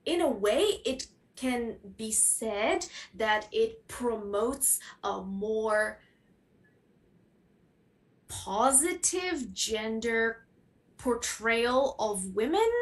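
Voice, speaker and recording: A woman's voice: on the high side, animated, very slow. Woman panelist, thoughtful and searching. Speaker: panel guest speaker. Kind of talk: academic panel discussion.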